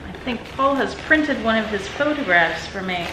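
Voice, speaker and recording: A woman's voice: a little high, animated, normal speed. A woman hosting a home vlog, chatting casually as gifts are opened. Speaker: vlog host. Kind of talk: casual chat while opening gifts. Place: indoors at home, roomy space. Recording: roomy echo, noticeable background noise.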